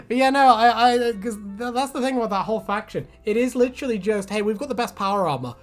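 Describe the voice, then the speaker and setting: A man's voice: sits high, quick pace. Joking, rambling male co-host over music. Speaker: YouTube host giving reactions to fan predictions. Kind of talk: casual comedic chat. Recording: music playing behind. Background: background music.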